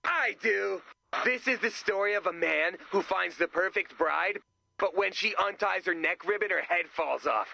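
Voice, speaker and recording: A man voicing an eager, flustered monstrous slasher in a video game, with a creaky, sharp voice. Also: sits high, moderate pace, dry recording, heavily acted. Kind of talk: video game character acting.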